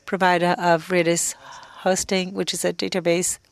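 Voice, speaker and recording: A woman giving a simultaneous interpretation of a conference talk, speaking in short halting phrases and correcting herself as she goes. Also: on the low side, little pitch movement.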